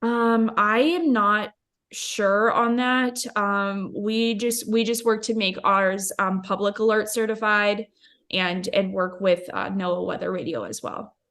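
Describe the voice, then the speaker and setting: A woman's voice: mid-range voice, slow, a bit bright. A woman company representative answering product questions informally, a little hesitant and correcting herself as she goes.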